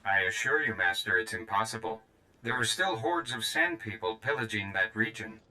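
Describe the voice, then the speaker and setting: An actor playing a formal, deferential droid assistant in a video game, long-suffering and servile toward a master. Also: male voice, fairly low pitch, little pitch movement, moderate pace, sharp tone, rough recording. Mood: fretful. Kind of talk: video game character dialogue.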